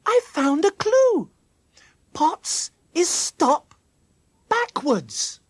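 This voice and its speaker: Narrator doing excited clever little girl from a kids book, really high and emphatic. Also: takes it slowly, no room sound, full character voice. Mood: excited, shouting. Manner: exclaiming, emphatic. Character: excitable, quick-witted young girl. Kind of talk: children's storybook character voice.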